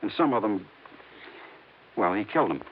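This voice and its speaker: A man's voice: very animated, moderate pace, a little hiss or hum. A grim, subdued gunman. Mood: grave. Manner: low, grave and subdued. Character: grim, remorseful man with a gun. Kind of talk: 1950s radio drama acting. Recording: tinny old radio, voice set back from mic.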